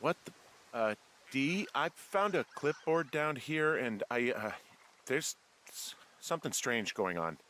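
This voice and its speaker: A man's voice: mid-range voice, lively intonation, measured pace, pushed and bright, a little hiss or hum. A man with an American accent playing a sardonic, reluctant fire lookout in a video game, in a dry, understated everyday voice. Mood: uneasy. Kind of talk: naturalistic video game dialogue.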